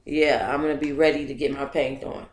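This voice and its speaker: A woman with a fairly low voice reads aloud in a casual, expressive way, sometimes breaking off to chat with her listeners. The room around her is echoey. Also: fairly quick, slight background noise. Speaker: book club host reading aloud. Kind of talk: casual reading of a memoir, then chat with viewers.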